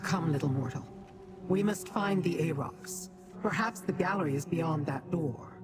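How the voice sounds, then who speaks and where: An actress playing a lofty otherworldly being in a fantasy video game, formal and condescending toward mortals. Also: female voice, sits low, normal speed, over music, lightly acted. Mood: curious, imperious.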